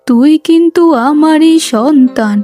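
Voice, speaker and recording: Unyielding old mother-in-law for animation, played by a woman; measured, soft timbre, scolding, over background music. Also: high-pitched. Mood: stern, admonishing. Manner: deliberate, admonishing. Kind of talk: cartoon voice acting.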